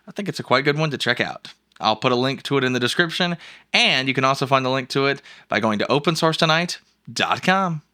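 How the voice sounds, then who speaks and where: A man hosting an informal, jokey, rambling software walkthrough in a casual screencast style. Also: medium pitch, smooth. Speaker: host of a software review show.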